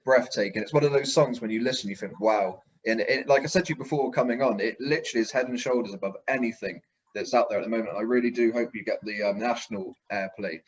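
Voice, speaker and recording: A man's voice: fairly low pitch, fast talker. A man interviewing a guest conversationally as show host, effusive and complimentary, on a dull lo-fi recording.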